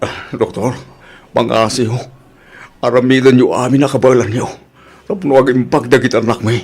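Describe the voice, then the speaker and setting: An earnest, husky-voiced family man. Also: medium pitch, fairly even intonation, normal speed, darkish tone. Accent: Ilocano. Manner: earnest, emotional. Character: earnest family man, by turns pleading son and father. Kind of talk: Ilocano radio drama acting.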